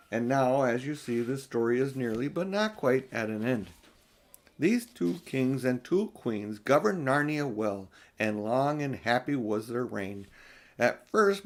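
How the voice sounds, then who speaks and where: An older man reads a storybook aloud in a mid-pitched voice. He takes his time over the phrases and leans on key words with clear emphasis, as an amateur-style audiobook narrator would. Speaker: audiobook reader. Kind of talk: storybook read-aloud with casual asides.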